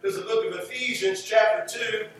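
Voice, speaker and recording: A man's voice: high voice, brisk. An American man preaching a fervent sermon, stressing and repeating phrases emphatically as he works through scripture. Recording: roomy echo, distant mic, faint noise.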